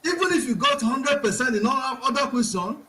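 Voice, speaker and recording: A man's voice: very high-pitched, expressive, a little fast, bright, forceful tone. A man on a live panel speaks emphatically and repeats his point over and over. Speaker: panel participant. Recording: noisy crowd in the background.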